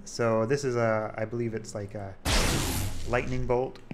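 A man giving a casual, halting screen-recorded demo as a game developer, thinking aloud as he goes. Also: mid-range voice, fairly even intonation, very noisy. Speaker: game developer showing a project.

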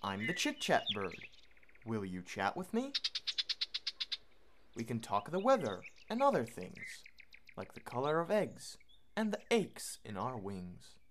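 A woman voicing friendly, chatty little storybook birds in a gentle, inviting singsong. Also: rumbling low, huge pitch swings, a little slow, long pauses, background noise, heavily acted.

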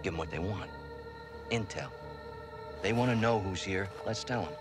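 An actor playing a confident military contractor commander in a game, with laid-back swagger. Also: male voice, low voice, normal speed, close to an everyday voice. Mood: cocky. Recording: music behind the voice.

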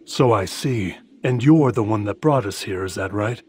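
An actor playing a stoic, coldly formal alien assassin in a video game, speaking in terse, measured lines. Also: male voice, lowish voice, a little hiss or hum, lightly acted. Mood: calm, stoic. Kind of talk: English video game dub acting.

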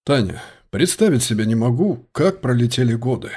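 A man's voice: medium pitch, lively intonation, normal speed, a little mellow, breathy edge. A man reading the part of an older retired police colonel, musing and nostalgic, in an audiobook.

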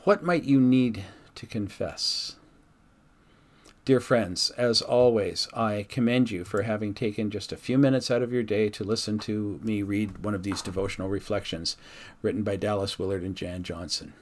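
A man speaking as a pastor, reading a devotional in a measured, earnest, formal way. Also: lowish voice, steady tone. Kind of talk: devotional reading with reflective address to listeners. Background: background music.

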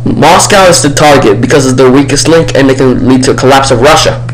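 A man's voice: mid-range voice, a little fast, natural voice. A man playing an urgent military adviser to the president in a homemade audio drama. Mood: indignant, urgent. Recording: noisy homemade recording with steady hum.

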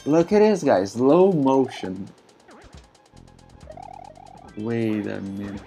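A man from Brazil whose first language is Portuguese, chatting casually in halting English with many fillers and frequent laughs while streaming a game. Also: medium pitch, sing-song, gravelly, dark tone, soft background music. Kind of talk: casual live-stream commentary.

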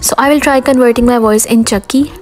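A woman hosting and narrating a scripted step-by-step software tutorial. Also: on the high side, fairly even intonation, brisk. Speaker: tutorial host. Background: background music.

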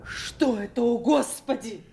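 Anxious, high-voiced household woman. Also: expressive, a little slow, creaky, mellow. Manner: emotional, exclamatory. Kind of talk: Soviet radio play acting. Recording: noisy vintage Soviet radio drama recording.

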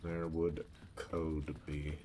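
A man's voice: rumbling low, monotone, very slow, mellow, a little hiss or hum. A man streaming a game with casual commentary, muttering to himself in short fragments. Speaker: gaming streamer. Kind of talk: casual let's-play commentary. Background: faint game music.

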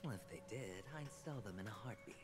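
An actor playing a cocky young gambler in a video game, nonchalant, sardonic and playful. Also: male voice, on the low side, animated, brisk, big-room sound, music in the background, close to an everyday voice. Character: smooth, cocky young gambler facing interrogation.

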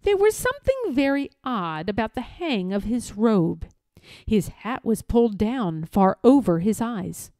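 A woman reading a children's story aloud in a deliberate, expressive, dramatic style. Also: on the high side, no room sound, studio quality. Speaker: storybook reader.

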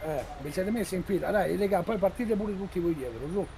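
A man's voice: high voice, animated, fairly quick, gravelly, crowd noise, low-quality recording. A man in casual gaming chat, insistently urging the others along. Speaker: online truck-convoy gamer.

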